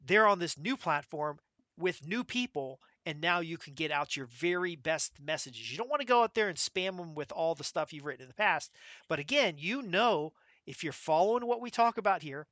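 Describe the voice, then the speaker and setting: An American man hosting a solo business podcast, talking in a brisk, emphatic way and rattling off lists. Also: fairly high pitch, lively intonation, pushed and bright, no echo. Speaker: podcast host.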